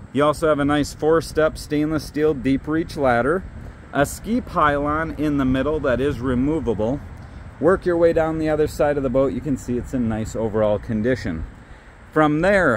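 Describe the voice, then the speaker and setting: Man, boat dealer walkthrough, upbeat feature listing, outdoor lot, faint traffic behind. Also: a little high. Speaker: boat salesman giving a walkthrough. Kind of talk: product walkthrough.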